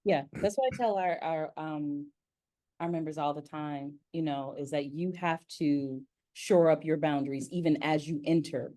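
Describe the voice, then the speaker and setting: A woman's voice: low voice, normal speed, mellow. An American woman speaking as an interviewer in conversation, emphatic and repeating phrases to make her point. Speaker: interviewer and voice-acting community leader.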